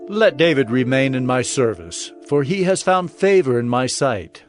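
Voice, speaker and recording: A man's voice: medium pitch, sing-song, moderate pace, natural voice. An actor playing a commanding, imperious male king with formal speech in a dramatized Bible story. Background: music.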